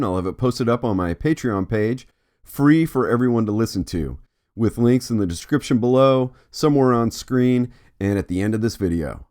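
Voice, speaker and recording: A man's voice: mid-range voice, darkish tone. A middle-aged man hosting an art vlog with scripted narration. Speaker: host, artist.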